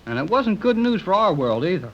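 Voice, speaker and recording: An eager young man, lively and folksy, lo-fi old radio. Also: high-pitched, a little fast, exaggerated voice. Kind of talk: 1930s radio acting. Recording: muffled old radio recording, faint hiss.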